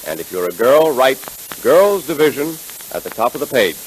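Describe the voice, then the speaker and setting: A man announcing in 1930s radio style, making an emphatic, enthusiastic scripted pitch to children. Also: very animated, moderate pace, rough recording. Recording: hissy 1930s radio recording.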